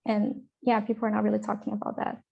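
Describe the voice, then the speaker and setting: A woman's voice: mid-range voice, monotone, quick pace, creaky, breathy edge, highs cut off. A woman presenting research in an online academic panel, talking with hesitant fillers and restarts.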